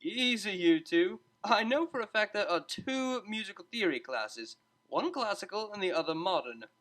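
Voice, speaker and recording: Cheerful, meddling pony teacher, male female reader playing him, animated, bright, chatty and unflappable, storybook. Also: mid-range voice, normal speed, voice pushed up, lightly acted. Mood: placating. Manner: upbeat, chatty, coaxing.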